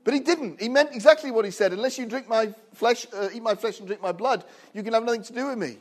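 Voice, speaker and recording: A man in his fifties, a guest preacher in a small church, speaking with jovial asides and growing animated as he preaches. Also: fast talker. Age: 50s. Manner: animated, rising loud and high when preaching; hushed, soft prayer. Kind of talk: sermon. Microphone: own recorder, no microphone.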